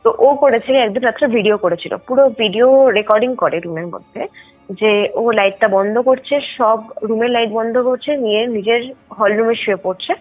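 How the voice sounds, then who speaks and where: High-voiced young woman on a phone line. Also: moderate pace, husky. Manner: explanatory, mixes in English words, often adds check-in tags. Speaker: caller telling a personal ghost experience. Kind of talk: casual conversational storytelling. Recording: tinny phone call with music underneath. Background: background music.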